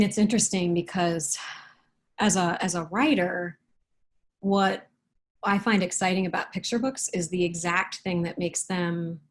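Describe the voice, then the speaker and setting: A woman with a soft, breathy voice, speaking in a thoughtful, unhurried manner as a picture book author. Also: lowish voice. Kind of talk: read-aloud storytelling and reflective interview talk.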